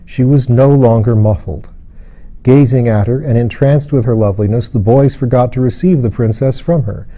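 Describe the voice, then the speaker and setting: A man narrating a literary story as an audiobook reader, in a measured, careful manner. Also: medium pitch, warm tone.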